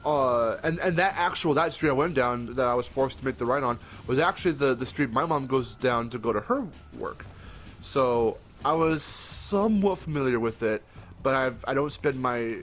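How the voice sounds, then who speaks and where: A man's voice: mid-range voice, moderate pace, fairly bright tone, slight background noise. Groggy young man rambling casually. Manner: rambling, self-correcting, swears casually. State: groggy morning voice. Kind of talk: casual chat.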